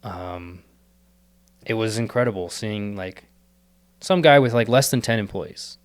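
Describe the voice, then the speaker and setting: A young man talks earnestly in a low, smooth voice, wandering through his thoughts with fillers and restarts. His voice is a little worn, as if he is losing it. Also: measured pace. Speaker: podcast guest, startup co-founder. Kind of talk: casual reflective interview talk.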